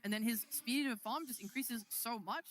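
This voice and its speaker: A woman's voice: fairly high pitch, moderate pace. A woman giving casual panel analysis as an esports commentator at a live event. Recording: faint venue noise behind.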